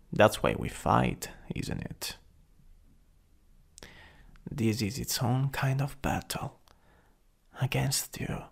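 Breathy, slow Italian-accented supervillain, played by a man. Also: medium pitch, heavily acted. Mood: possessive, teasing. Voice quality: breathy, often whispering. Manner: slow, teasing, lingering delivery. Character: jealous, possessive, teasing flirtatious male supervillain. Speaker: ASMR roleplay performer. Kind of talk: ASMR roleplay, intimate and breathy.

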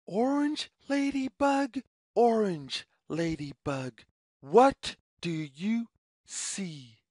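A woman reading a children's picture book aloud as storyteller, with deliberate, sing-song phrasing. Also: fairly high pitch, darkish tone, no echo, studio quality.

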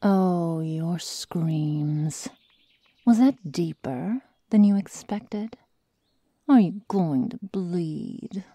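A woman acts out a cruel werewolf girl in an ASMR roleplay. She talks extremely slowly in a low-pitched, husky whisper that turns gravelly when murmured, taunting and possessive, close to the mic. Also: dark tone, no room sound, heavily acted. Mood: cruelly taunting. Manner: slow, menacing, taunting, drawn-out phrases. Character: sadistic, predatory young female werewolf, cruel and possessive.

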